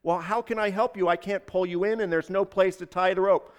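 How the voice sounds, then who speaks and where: A man's voice: high voice, fairly even intonation, brisk, clear, resonant tone, long reverb tail, natural voice. A man voicing a burdened traveler, exasperated yet principled, speaking earnestly in a dramatized story. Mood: desperate.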